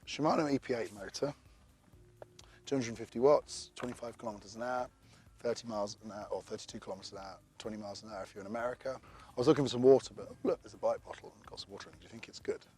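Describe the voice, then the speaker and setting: A man's voice: mid-range voice, racing, a little mellow. A man who is losing his voice, chatting casually through a bike review to camera with rambling asides.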